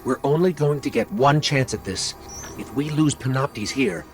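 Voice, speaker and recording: A man's voice: on the high side, animated, normal speed, creaky. A man voicing a small, earnest robot companion in a video game, chatty and curious with an anxious streak. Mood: worried. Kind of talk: video game cutscene acting. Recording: music behind the voice.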